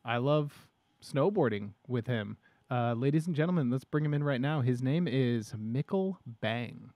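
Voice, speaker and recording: A man in his thirties hosting a casual podcast at a desk mic, animated and enthusiastic, with casual swearing. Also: mid-range voice, normal speed, no echo, muffled recording. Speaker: podcast host. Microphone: studio microphone at a desk.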